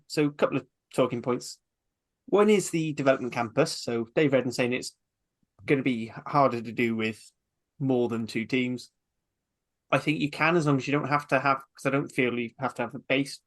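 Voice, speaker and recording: A man with a middle-pitched voice goes through his rugby talking points on a podcast. He works through them one at a time in a methodical way. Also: normal speed. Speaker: podcast co-host. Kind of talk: casual rugby chat.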